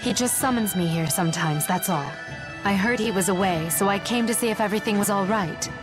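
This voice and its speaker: A woman's voice: medium pitch, a little fast, close to an everyday voice. An actress playing a proud, disillusioned noblewoman in an English video game. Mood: indignant, troubled. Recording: background music under the voice.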